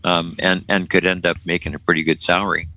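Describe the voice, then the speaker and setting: A man's voice: low voice, level tone, quick pace, bright, forceful tone. An older man hosting a casual group talk, often repeating words as he starts a thought. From Florida.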